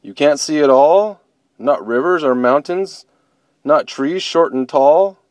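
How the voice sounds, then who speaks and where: A man's voice: medium pitch, expressive, measured pace, darkish tone, no echo, pitched above the performer's own voice, close to an everyday voice. A man doing Bobo, a grumpy sleepy bear. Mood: amazed. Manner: grumbling, blustery.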